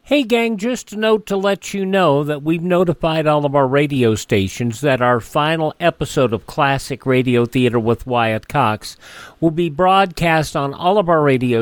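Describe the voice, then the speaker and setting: Higher-voiced male radio host, very dry sound. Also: dry recording. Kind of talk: radio announcing, informal.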